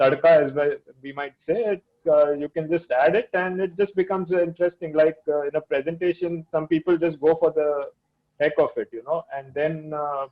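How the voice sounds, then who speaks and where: Man, Indian accent, moderately high voice, guest storyteller, casual chat, slightly muffled lo-fi audio. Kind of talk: casual conversational talk. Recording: dull lo-fi audio.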